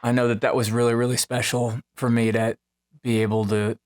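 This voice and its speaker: A male musician with a mid-pitched voice talks casually about songwriting and recording. He rambles in a halting, conversational storytelling style. Music plays clearly behind him. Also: little pitch movement.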